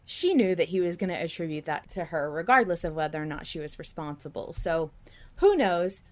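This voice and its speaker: A woman co-hosting a podcast, chatting casually and enthusiastically about a story as a fan, with an expressive, giggly manner. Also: on the low side, brisk. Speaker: podcast co-host. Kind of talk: casual fan discussion of an audio drama.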